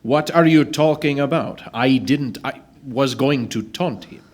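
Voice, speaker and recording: Slow, mock-courteous man as a space villain. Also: mid-range voice, a little mellow, close to an everyday voice. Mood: flustered. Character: taunting, easily flustered male space villain. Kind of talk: comic retro radio drama acting.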